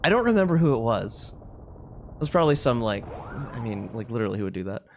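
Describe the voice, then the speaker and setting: A man's voice: medium pitch, sing-song, fast, a little hiss or hum. A man livestreaming a video game, chatting casually with viewers and commenting on play in a wry, ranting way.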